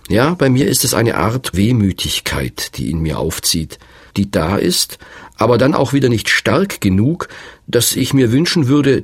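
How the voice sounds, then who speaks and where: A man in his fifties narrating a radio feature in a slow, musing, reflective way. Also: deep voice, slightly rough, dry recording, studio quality. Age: 50s.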